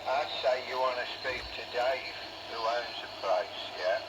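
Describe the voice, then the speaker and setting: A man's voice: medium pitch, a little slow. A British man speaks casually and directly over CB radio, with a matey manner. The sound is muffled and buried in heavy noise. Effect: CB radio transmission. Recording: dull, very noisy CB radio reception.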